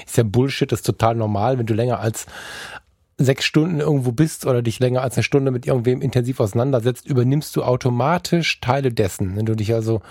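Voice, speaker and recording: Fast-talking blunt man, dark husky voice. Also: mid-range voice, no room sound, clean studio sound. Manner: blunt, joking, talks fast. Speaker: podcast co-host. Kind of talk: casual podcast chat.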